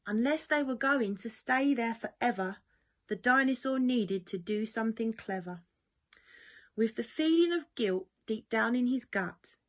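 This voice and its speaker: A woman reading a rhyming children's storybook aloud in an expressive, lilting way, as school staff do for young pupils. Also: fairly high pitch. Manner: animated, lilting rhyming delivery.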